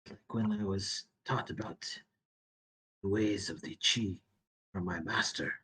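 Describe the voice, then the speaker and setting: A man with a husky voice chatting playfully as a player in a tabletop game. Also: low-pitched, steady tone, unhurried, sharp tone. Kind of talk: casual tabletop play.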